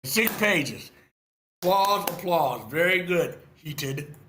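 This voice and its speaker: A man giving animated, informal feedback on a screenplay, theatrical and emphatic, repeating phrases for effect. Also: high-pitched, slow, roomy sound, a little hiss or hum. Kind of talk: animated, informal script critique. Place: online video meeting.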